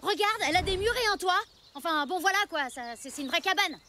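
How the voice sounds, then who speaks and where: A woman voicing an earnest, loyal young prehistoric boy in a cartoon, expressive and quick to protest. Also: extremely high pitch, a little hiss or hum, exaggerated voice. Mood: indignant. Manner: earnest, expressive, indignant when wronged. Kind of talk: cartoon voice acting, French dub.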